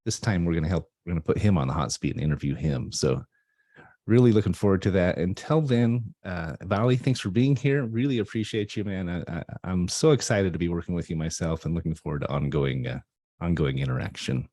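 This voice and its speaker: A man with a low, mellow voice hosts a talk radio interview over a video call. He sounds upbeat and affirming, and he keeps checking in with his guest. The sound is dry and close. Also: a little fast, no echo. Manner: upbeat, affirming, often asks "right?". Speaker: radio show host.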